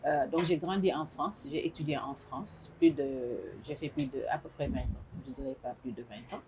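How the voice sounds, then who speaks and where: Senegalese woman, middle aged, low mellow voice, slow thoughtful answers w lots of hesitating, bit of noise behind. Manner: measured, thoughtful, with frequent hesitations. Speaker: interview guest. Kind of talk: casual interview talk mixing French and Wolof.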